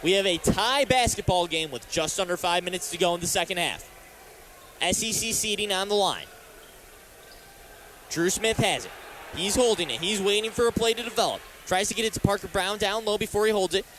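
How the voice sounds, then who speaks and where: A man's voice: high voice, fairly quick, a bit bright. An American man giving live basketball play-by-play commentary as a radio announcer from courtside. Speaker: radio play-by-play announcer and sports talk host. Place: courtside in a sports arena. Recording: live arena broadcast with faint background noise.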